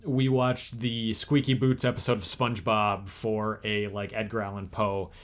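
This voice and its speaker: A man's voice: on the low side, flat delivery, normal speed. A young man in his twenties co-hosting a casual chat, rambling with many fillers and restarts. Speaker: co-host.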